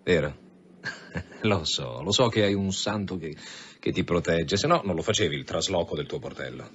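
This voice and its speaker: A sardonic police commissioner in a radio play, persistent and needling. His low voice moves with great animation. The sound is a little dull, with faint noise behind. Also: animated, moderate pace, a bit bright, husky, full character voice. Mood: sardonic, menacing. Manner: sarcastic, hesitant repetitions building to veiled threats. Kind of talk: Italian radio drama acting.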